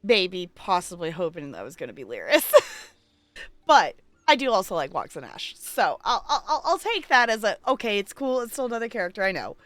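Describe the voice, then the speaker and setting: A woman chatting casually and playfully while livestreaming a game, thinking out loud as she goes. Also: mid-range voice, big pitch swings, a little fast, no echo. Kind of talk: casual stream chat while playing, reading game dialogue aloud.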